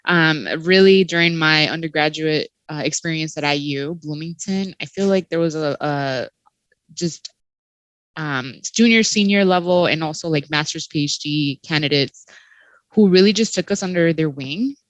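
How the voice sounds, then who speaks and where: A low-voiced woman gives a reflective panel answer, pausing with "um" and checking in with "right?". Her sound is dry and close, with no room around it. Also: no room sound, dull audio. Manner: warm, reflective, many fillers.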